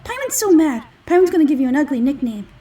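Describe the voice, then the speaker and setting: A woman voicing a small, childish, excitable fairy companion from a game, whiny and easily indignant. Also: high-pitched, fast talker, distant mic, voice pushed up. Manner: whiny, exclaiming, refers to itself in third person.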